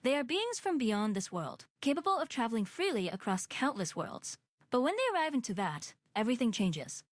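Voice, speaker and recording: A woman's voice: on the high side, big pitch swings, brisk, no room sound. A woman reading scripted narration that recounts a video game's story.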